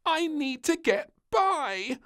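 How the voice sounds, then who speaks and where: A man's voice: extremely high pitch, very animated, slow pace, voice pushed up, full character voice. A man voicing a bossy, whiny little princess girl in a storybook, with piping, squealing delivery. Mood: indignant.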